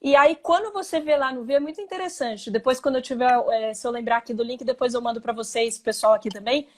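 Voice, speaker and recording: High-pitched woman host, chatty and animated, on a video call. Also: quick pace. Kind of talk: live online interview.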